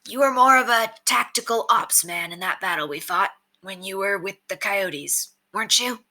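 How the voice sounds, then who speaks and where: A woman voicing a brisk, gruff male bandit leader in a storybook, with a commanding, folksy, clipped manner. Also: medium pitch, bright, forceful tone. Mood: businesslike.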